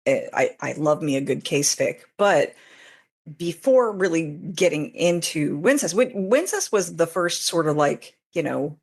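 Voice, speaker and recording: Animated, lower-pitched woman in casual conversation. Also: unhurried, breathy edge. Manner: informal, expressive, acts out her own reactions. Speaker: interview guest, fanfiction author. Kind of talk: casual chat.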